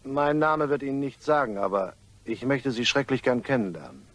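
An actor playing a smug, mock-polite blackmailer in a crime radio play, with a suave and taunting manner. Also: male voice, mid-range voice, animated, normal speed, fairly bright tone, dry recording. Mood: suave, insinuating. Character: smug male blackmailer, mockingly courteous and menacing.

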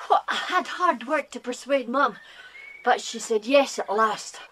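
Eager, bright, high-voiced English farm boy. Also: lively intonation, a little slow. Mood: excited. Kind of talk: children's audio drama acting. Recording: hissy 1990s cassette tape.

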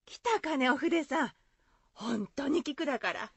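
An actress playing a friendly, chatty rustic innkeeper in a radio drama, speaking folksy dialect. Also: female voice, sits high, lively intonation, measured pace, creaky, no room sound, exaggerated voice. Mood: friendly, encouraging.

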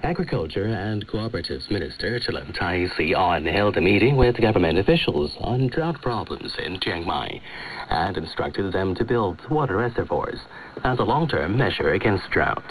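A man's voice: low-pitched, lively intonation, normal speed, slight background noise, poor audio. A man reading scripted news as a radio presenter on a shortwave broadcast. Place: radio studio, heard over shortwave.

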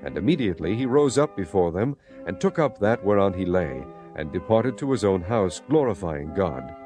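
A man narrates dramatized scripture in a solemn storytelling manner. His voice sits fairly low with lively swings in intonation, and music plays clearly underneath. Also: moderate pace.

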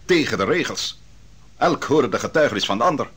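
An actor playing a young prophet who sharply questions witnesses and challenges a judge in an old radio drama. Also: male voice, mid-range voice, animated, fairly quick, lightly acted. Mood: commanding. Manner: sharp, commanding, interrogating. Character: young prophet defending the accused, commanding. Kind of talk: 1960s Dutch radio drama. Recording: reverberant old radio recording.

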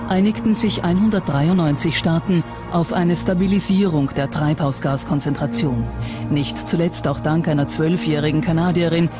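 A documentary narrator reading a scripted voice-over in a measured, factual way. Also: medium pitch, over music.

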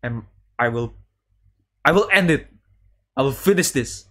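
A man streaming a visual novel, reading dialogue aloud haltingly and adding casual commentary. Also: mid-range voice, animated, a bit bright. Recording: music playing behind the voice. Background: guitar game music.

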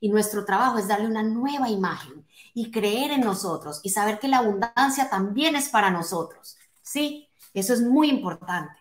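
A woman's voice: mid-range voice, animated, moderate pace. Emphatic motivational woman in an echoey room. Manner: emphatic, direct address with rhetorical questions and check-in tags. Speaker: motivational coach and speaker. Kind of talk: motivational talk to an online live audience. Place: small home office, indoors. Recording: roomy, echoing indoor sound.

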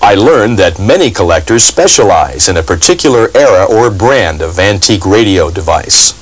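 A man's voice: deep voice, expressive, moderate pace, slightly rough, rough recording. An American man hosting and narrating a TV program in a scripted documentary style. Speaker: TV program host, radio collector.

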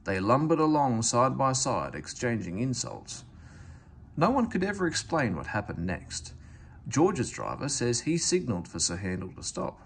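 Expressive man reading a children's story. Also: medium pitch, normal speed, vocal fry, slight background noise. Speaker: storybook reader. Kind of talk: read-aloud children's story narration. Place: indoors at home, book on camera.

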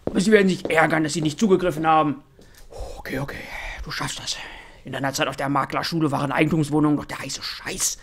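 A man's voice: fairly high pitch, fairly even intonation, fast talker, fairly bright tone, slight background noise. A man playing a pushy, overeager, desperate estate agent with theatrical, gushing sales patter in comedic game fan fiction. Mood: muttering, self-encouraging.

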